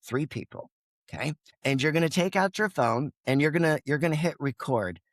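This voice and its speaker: A man's voice: on the high side, lively intonation, a little fast, dry recording, clean studio sound. A man who is a podcast guest, speaking in an emphatic, passionate way and now and then acting out his own inner voice. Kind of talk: energetic interview talk.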